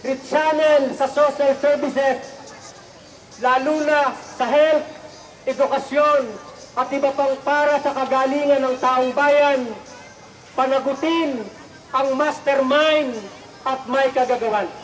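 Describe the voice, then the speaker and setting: A Filipino man delivers a protest speech in short, repeated phrases. His voice is very high for a man and sounds echoey and away from the mic. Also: fairly even intonation, takes it slowly, long pauses, a faint crowd. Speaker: protest speaker, activist. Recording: echoey, distant rally sound, faint noise.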